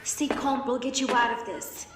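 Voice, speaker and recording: A woman playing a fierce female fighter who makes bold, quick quips. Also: high voice, level tone, recorded from a distance, natural voice. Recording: roomy, loud noise.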